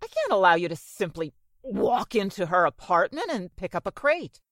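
A woman's voice: medium pitch, big pitch swings, moderate pace, dry recording, clean studio sound, natural voice. A woman playing a prim, fussy but trusting building manager in a 1940s style radio drama, polite and proper. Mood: doubtful.

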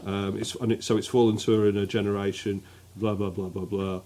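A man's voice: on the low side, level tone, fairly quick, warm tone. A man co-hosting a casual podcast, sardonic and dry as he reads out tweets. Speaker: podcast co-host. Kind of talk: casual comic chat.

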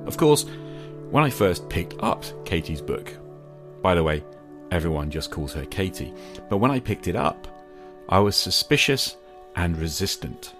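Deep-voiced man hosting a reflective podcast. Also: big pitch swings, measured pace, over music. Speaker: podcast host. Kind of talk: reflective scripted monologue.

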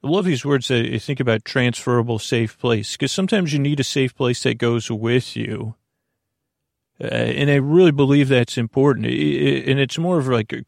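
A man with a creaky voice hosting a sleep podcast in a lulling, meandering, hesitant monologue full of halting tangents. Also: medium pitch, no room sound, studio quality. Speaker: podcast host. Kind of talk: rambling, soothing bedtime podcast monologue.

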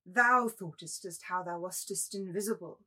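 A woman's voice: on the low side, normal speed, sharp tone, slightly rough. A woman playing the pompous, raging ghost of William Shakespeare in a theatrical, mock-dignified manner for a radio-play reading. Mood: stately, crowing.

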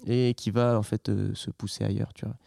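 A young man, a hip hop artist, talking in a casual interview in a soft-spoken, halting and reflective way. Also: on the low side, flat delivery, creaky, dry recording, clean studio sound. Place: artists' workshop.

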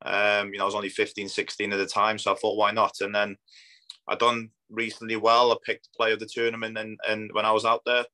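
Low, bright-voiced man with mixed Polish accent. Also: monotone, fairly quick. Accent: Polish mixed with Scandinavian and northwest English. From Poland. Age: 30s. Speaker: footballer being interviewed. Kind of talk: casual interview chat.

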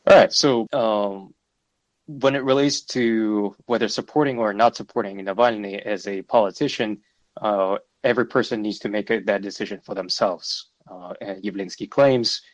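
Low-voiced man, hesitant analytical commentary. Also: steady tone, no echo, low-quality recording. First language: Russian. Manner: hesitant, many fillers and restarts, measured and analytical. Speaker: podcast host. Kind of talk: solo political commentary.

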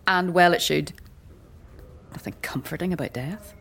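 An actress playing a very old, weary and sardonic priestess in a fantasy audio drama, blunt, with archaic slang and wry chuckles. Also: female voice, on the low side, moderate pace, gravelly, fairly bright tone, husky, exaggerated voice. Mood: frank, curious. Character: very old striking woman, sardonic, weary, doubting death-cult priestess.

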